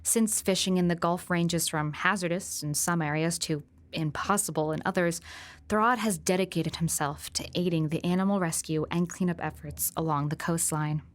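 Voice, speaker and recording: A woman's voice: fairly low pitch, fairly even intonation, moderate pace, close to an everyday voice. A woman playing an earnest public radio field reporter with a composed, careful journalistic delivery. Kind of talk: scripted news narration and interviewing.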